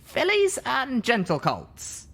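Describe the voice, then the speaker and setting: Young female pony adventurer, pitched high, anxious but earnest; played by a male reader. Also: huge pitch swings, slow, vocal fry, fairly bright tone, slightly rough, heavily acted. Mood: playful, grand.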